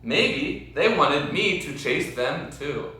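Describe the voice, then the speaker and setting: A man voicing a big, friendly, lumbering storybook creature who muses in a puzzled, unhurried way. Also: on the high side, sing-song, bright, forceful tone, exaggerated voice. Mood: puzzled musing. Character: big lumbering good-natured slow creature, a hoodie. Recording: very echoey room, distant mic.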